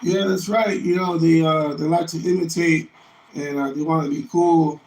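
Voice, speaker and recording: Middle-aged man with creaky, roomy voice. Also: a little high, fairly even intonation, moderate pace, a little mellow. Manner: anecdotal, explanatory. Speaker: amateur radio operator. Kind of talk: casual ham radio conversation. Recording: roomy echo with faint noise.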